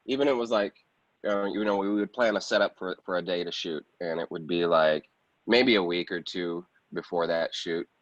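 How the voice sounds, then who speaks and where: A man's voice: sits low, normal speed. A man giving a casual interview as a guest, talking informally about his own work. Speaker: guest, actor and film producer. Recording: roomy echo, slightly muffled sound.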